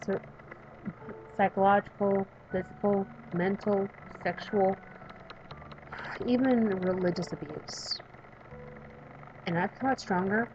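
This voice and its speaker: A woman in her thirties reading a visual novel aloud and chatting about it, emphatic while reading and quiet when talking about herself. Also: medium pitch, fairly even intonation, takes it slowly, pauses often, highs cut off, slight background noise. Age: 30s. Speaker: let's play streamer reading a visual novel. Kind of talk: reading game text aloud and commenting.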